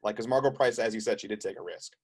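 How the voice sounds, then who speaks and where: A man's voice: on the low side, husky. A man hosting an interview in casual, eager chat, often restarting and repeating words as he talks. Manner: rapid, stumbling restarts, eager. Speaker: host.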